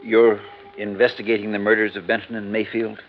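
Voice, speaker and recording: Actor as low, quick-talking earnest informant. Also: male voice, monotone, soft background music, close to an everyday voice. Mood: earnest, hesitant. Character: earnest, hesitant male informant, polite and secretive. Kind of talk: 1950s American radio detective drama acting. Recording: muffled, noisy 1950s radio recording.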